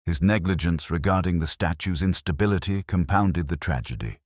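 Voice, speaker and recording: A man voicing a calm, grave police inspector in a detective audiobook, speaking gently and formally with quiet firmness. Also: low-pitched, normal speed, no room sound, studio quality. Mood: quietly stern. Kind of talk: dramatised detective story dialogue.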